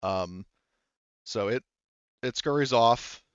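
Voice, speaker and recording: A man narrating a tabletop roleplaying game casually as game master, improvising in a halting, rambling way and rephrasing as he goes. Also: medium pitch, clear, resonant tone, dry recording, dull audio. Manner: halting, rambling, often rephrasing mid-sentence.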